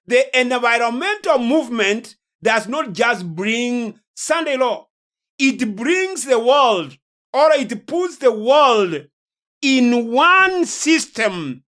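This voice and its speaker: Preacher, unhurried and grave, lecturing in sermon style with weighty repeated phrases. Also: mid-range voice, a bit bright. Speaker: preacher and host of a religious teaching series.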